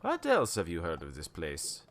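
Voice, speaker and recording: A man's voice: deep voice, huge pitch swings, normal speed, heavily acted. An actor playing a wary, cynical old townsman who tells cryptic stories in a radio play, in a theatrical, rambling way. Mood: ominous. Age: older. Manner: cryptic, theatrical, rambling storytelling with knowing chuckles. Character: wary, cynical old male townsman, former shopkeeper, storyteller.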